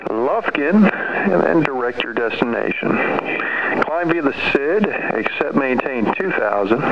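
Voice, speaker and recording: A man working as an air traffic controller, giving procedural clearances over aviation radio. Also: medium pitch, lively intonation, a little slow. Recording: muffled, very noisy aviation radio transmission.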